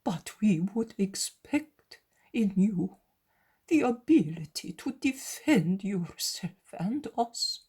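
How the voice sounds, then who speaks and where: A woman's voice: on the low side, darkish tone, husky, exaggerated voice. A woman reading the lines of a devoted male disciple, pleading in a whisper.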